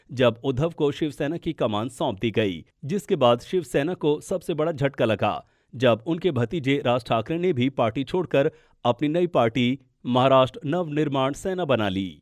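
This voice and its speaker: A man's voice: mid-range voice, a little mellow, no room sound, clean studio sound. A man narrating a scripted political explainer as a news podcast presenter.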